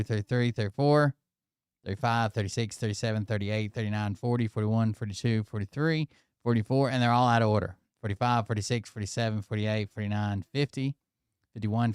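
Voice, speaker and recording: A man's voice: medium pitch, a little mellow, smooth, no echo, clean studio sound. A man from Alberta with an Albertan Canadian accent, giving casual, mocking reaction commentary. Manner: mocking, laughing, chatty. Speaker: commentary streamer.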